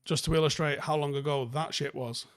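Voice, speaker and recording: A man with a softened Manchester, northern English accent, chatting casually and bluntly as a livestream host answering viewer comments, with plenty of swearing. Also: on the high side, fairly even intonation, a little fast, dry recording, studio quality.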